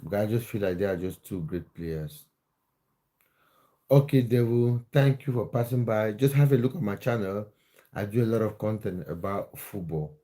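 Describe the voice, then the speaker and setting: A man's voice: on the low side, moderate pace, mellow. A man from Cameroon hosting a casual football livestream, chatting with viewers and often restarting his words. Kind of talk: casual live chat with viewers.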